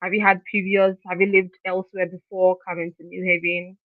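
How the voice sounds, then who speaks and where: A woman's voice: fairly low pitch, flat delivery, fairly quick, no echo. A woman hosting an interview in a friendly, conversational way, stacking several follow-up questions together. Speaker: interviewer, host. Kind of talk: casual interview chat. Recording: dry tinny phone-like line.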